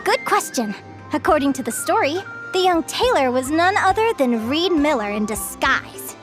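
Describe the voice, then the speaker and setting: High-voiced, gentle young woman storyteller. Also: sing-song, over music. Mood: storytelling, composed. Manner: measured, articulate, enthusiastic about lore. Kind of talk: storytelling in an English game dub.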